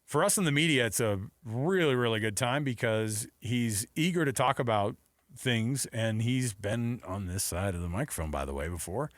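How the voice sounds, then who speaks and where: A man's voice: mid-range voice, lively intonation, moderate pace, no echo. An American man bantering as a sports radio co-host. His talk is casual, joking and a little rambling. He is close to the microphone in a dry studio. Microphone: close studio mic.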